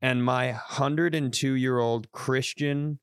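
Animated swearing male podcast storyteller. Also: medium pitch, slow pace, clean tone, no echo, studio quality. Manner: animated, swearing, restarts mid-sentence. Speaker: comedian podcast host. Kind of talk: casual storytelling chat.

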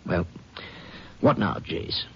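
An actor playing a plain-spoken, puzzled country sheriff with a Texan accent and a folksy drawl in a radio western. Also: male voice, slow pace, lightly acted. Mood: somber, puzzled. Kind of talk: 1950s radio western acting. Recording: dull, noisy vintage radio recording.

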